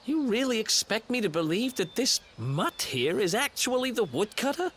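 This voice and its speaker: A man's voice: sits high, sing-song, moderate pace, fairly bright tone, slightly rough, exaggerated voice. A man playing a cheeky, streetwise young adventurer in a video game, with a casual, teasing, wry manner. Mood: baffled, wry. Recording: game music behind.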